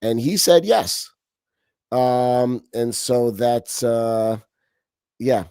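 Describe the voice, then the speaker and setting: A middle-aged man who grew up in Romania, speaking as a guest in a loose, animated interview. He restarts often and fills his pauses, and the recording sounds close and dry with no room around him. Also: medium pitch, clean tone, no room sound. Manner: animated, frequent restarts and fillers. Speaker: podcast guest. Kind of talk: casual interview talk.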